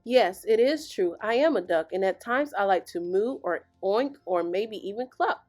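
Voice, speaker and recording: A woman's voice: medium pitch, lively intonation, normal speed, a little mellow, faint music behind, voice pushed down, close to an everyday voice. A woman voicing a gleeful, cheeky young male duck in a storybook, teasing and exuberant. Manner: bellowing animal noises, giggly, teasing.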